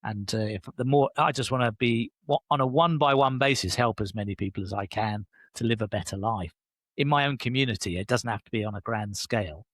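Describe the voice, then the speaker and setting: Animated older Englishman. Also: a little fast, dry recording, studio quality. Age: 60s. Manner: enthusiastic, animated pitch jumps, frequent affirming "yeah". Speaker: guest, leadership coach. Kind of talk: casual interview conversation.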